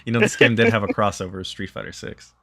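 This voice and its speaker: A man's voice: lowish voice, steady tone, quick pace, gravelly, pushed and bright. A man reading a visual novel aloud as a streamer, in casual narration.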